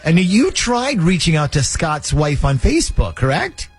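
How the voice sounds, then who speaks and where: A man hosting a radio call-in show in a studio, his voice slightly husky and a little higher than most. He talks in a lively, joking, conspiratorial way, and his pitch moves a lot. A faint music bed plays underneath. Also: measured pace. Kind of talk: morning radio call-in segment.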